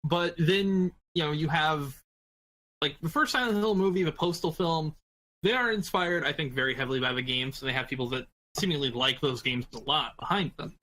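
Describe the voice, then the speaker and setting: A man with a bright, sharp voice pitched a little high. He is a podcast panelist, chatting casually with blunt, crude wording. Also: normal speed, rough recording. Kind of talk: casual group discussion.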